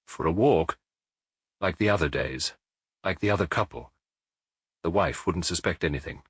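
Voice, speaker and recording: A man's voice: deep voice, normal speed, breathy edge, no room sound, studio quality, voice pushed down. A man reading the part of a brooding middle-aged police detective in an audiobook, muttering tersely.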